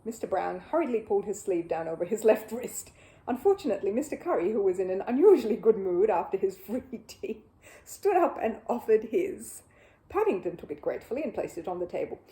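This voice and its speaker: A woman narrating a children's story as an animated audiobook reader. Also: medium pitch, fairly quick.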